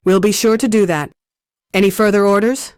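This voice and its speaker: A woman's voice: medium pitch, steady tone, normal speed, very noisy, natural voice. A woman voicing a dutiful, composed superhero field leader in a video game, with military formality. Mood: focused. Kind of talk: video game mission dialogue.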